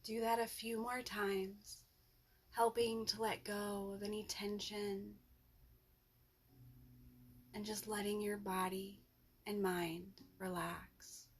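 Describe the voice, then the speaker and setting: A woman leading a guided yoga nidra relaxation as the instructor, with gentle, soothing instructions and long pauses. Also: mid-range voice, flat delivery, slightly rough.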